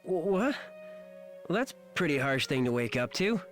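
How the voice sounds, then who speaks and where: Young female soldier, lively swings in pitch, bold, determined, heartfelt, video game drama. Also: moderate pace, soft background music. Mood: gentle, relieved. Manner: urging, plucky, heartfelt.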